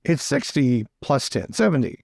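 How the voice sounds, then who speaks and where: A man's voice: mid-range voice, lively intonation, normal speed, creaky, darkish tone, no room sound, studio quality. A man playing a tabletop roleplaying game, chatting and switching between narration and in-character dialogue.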